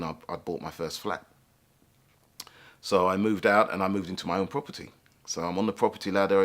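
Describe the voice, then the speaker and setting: Low-voiced reflective man. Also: fairly bright tone. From England, London. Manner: reflective, thoughtful, pausing to search for words. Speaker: storyteller. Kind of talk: personal life story told to camera.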